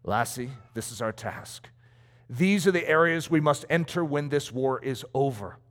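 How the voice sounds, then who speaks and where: A man's voice: on the high side, lively intonation, moderate pace, a bit bright. A preacher reading as a solemn old missionary man. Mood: solemn, assured. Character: old male missionary statesman, visionary and assured. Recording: long hall reverb.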